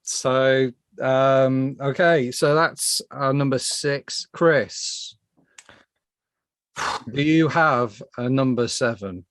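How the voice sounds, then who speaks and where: A man's voice: medium pitch, extremely slow, a bit bright, clean tone, dry recording. A man hosting a casual podcast panel, moderating with a dry, wry delivery. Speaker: podcast host.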